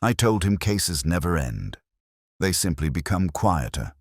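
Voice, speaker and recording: A man playing a melancholy older detective inspector, narrating case notes in a measured, reflective, literary way. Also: sits low, very animated, dry recording, studio quality, heavily acted. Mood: solemn, brooding. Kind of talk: scripted first-person literary narration.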